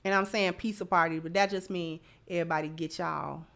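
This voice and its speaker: A woman's voice: lowish voice, steady tone, fairly quick, vocal fry, fairly bright tone. A woman hosting a livestream, chatting casually and giving commentary to her supporters. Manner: effusive in thanking supporters. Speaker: livestream host.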